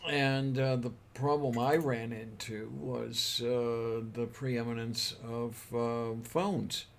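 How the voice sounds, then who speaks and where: A man's voice: medium pitch, flat delivery, takes it slowly, creaky. A man co-hosting a casual chat, joking and rambling with lots of fillers. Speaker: podcast co-host.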